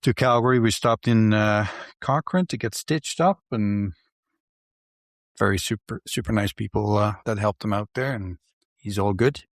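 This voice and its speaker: Low soft-spoken man, slight Dutch accent. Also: normal speed, no room sound, studio quality. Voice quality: soft-spoken, mumbling, soothing deep voice. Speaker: podcast host. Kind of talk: casual chat.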